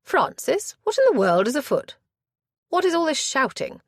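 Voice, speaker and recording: A woman's voice: sits high, sing-song, moderate pace, no echo, studio quality, voice pushed up. A woman voicing an imperious aristocratic older mother who speaks in a commanding, impatient way.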